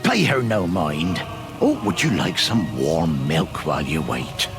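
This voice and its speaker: An actor playing a kindly, easygoing husband in a video game cutscene. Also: male voice, extremely low pitch, wildly swooping pitch, a little slow, exaggerated voice. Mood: placating. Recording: music behind the voice.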